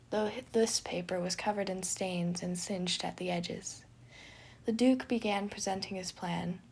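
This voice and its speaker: A woman reading a fictional story aloud as narrator, in an expressive scripted style. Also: mid-range voice, vocal fry, breathy edge.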